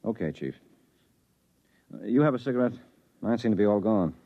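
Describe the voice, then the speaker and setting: A man's voice: fairly low pitch, animated, normal speed. A man playing a harried, married investigator, skeptical but earnest, in 1950s radio drama style. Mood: rueful, puzzled. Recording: muffled vintage 1950s radio recording.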